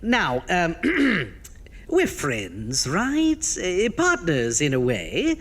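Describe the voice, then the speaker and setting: A man playing a vain, prickly undead horror host, hammy and halting in the style of a campy radio horror show. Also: fairly high pitch, wildly swooping pitch, a bit bright, full character voice. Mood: wheedling, nervous. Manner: hammy, halting, drawn-out showman delivery.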